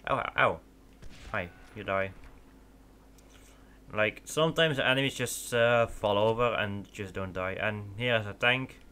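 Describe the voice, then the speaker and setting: A man's voice: lowish voice, measured pace, long pauses, sharp tone. Dutch man rambling over gameplay. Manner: rambling, filler-heavy with many restarts. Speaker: gaming channel host. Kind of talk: casual let's play commentary.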